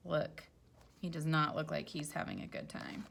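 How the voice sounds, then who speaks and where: A woman's voice: low-pitched, animated, normal speed, gravelly, fairly bright tone. A woman teacher reading a children's storybook aloud expressively, with chatty explanatory asides for young listeners.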